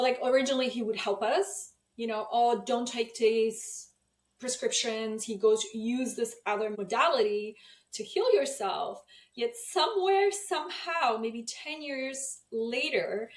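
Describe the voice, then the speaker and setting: A woman's voice: fairly high pitch, echoey room. A woman originally from Poland hosting a podcast interview, asking long, reflective questions. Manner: frequent listening murmurs, thoughtful questions. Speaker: podcast host.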